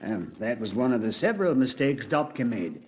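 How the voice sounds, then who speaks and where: An actor playing a composed senior Scotland Yard officer with a clipped, dry manner, in a 1950s radio drama. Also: male voice, medium pitch, sing-song, normal speed, lightly acted. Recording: dull lo-fi 1950s radio recording.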